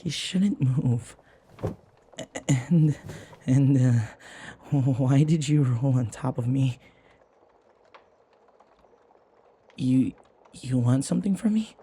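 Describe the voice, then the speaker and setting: A man playing a flustered, submissive servant in an intimate audio roleplay, hesitant and apologetic, stammering with a breathy voice. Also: medium pitch, slow pace, warm tone, a little hiss or hum, lightly acted. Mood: embarrassed, flustered. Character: flustered, submissive young male servant devoted to his vampire mistress.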